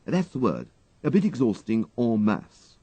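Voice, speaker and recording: A man voicing an excitable, flustered young upper-class English gentleman with an exclamatory manner and dated slang, in an audiobook. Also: medium pitch, a little slow, husky, no room sound, pitched above the performer's own voice. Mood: bashful, uneasy.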